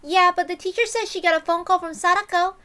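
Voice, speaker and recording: A woman's voice: very high-pitched, steady tone, fast, pushed and bright, voice pushed up. A woman voicing a friendly, concerned young schoolgirl, earnest and chatty, in a story reading. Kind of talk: dramatic reading of a visual novel.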